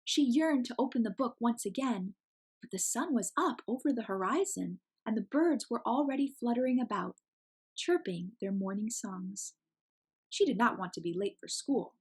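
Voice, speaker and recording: A woman reading a picture book aloud as an expressive storyteller for children. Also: on the high side, normal speed, breathy edge.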